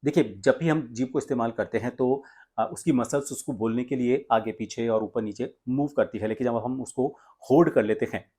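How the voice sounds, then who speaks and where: An Indian man working as a voice coach, giving instructions in a deliberate, explanatory way with frequent pauses mid-sentence. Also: medium pitch, dark tone. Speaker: voice coach and presenter. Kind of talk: instructional tutorial, explaining exercises step by step.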